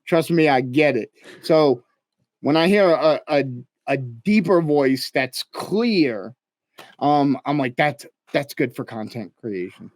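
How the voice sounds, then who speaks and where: A man with a high-pitched voice, hosting a casual interview and readily agreeing with and encouraging his guest. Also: animated, slow, no echo. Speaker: interview host.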